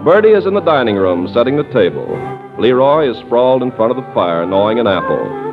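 A man's voice: mid-range voice, sing-song, a little fast. A man reading scripted copy as a polished, salesmanlike 1940s radio announcer. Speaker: radio announcer and sponsor spokesman. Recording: muffled 1940s radio recording with music.

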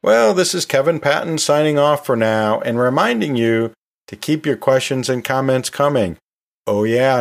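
A man hosting a podcast in a conversational, storytelling way, chatting informally with asides like a teacher talking to listeners. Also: mid-range voice. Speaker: podcast host; anatomy and physiology teacher.

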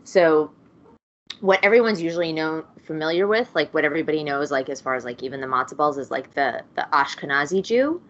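A woman's voice: deep voice, lively intonation, fairly quick, slight background noise. A woman chatting casually about a book, rambling through asides and many fillers. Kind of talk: casual chat. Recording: dull lo-fi sound.

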